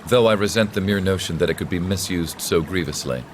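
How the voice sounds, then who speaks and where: A man playing a composed, authoritative young nobleman in a video game, courteous and formal with quiet menace. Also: low voice, slight background noise, natural voice. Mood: courteous, smooth. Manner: polished, measured formal speech. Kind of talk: dramatic video game voice acting.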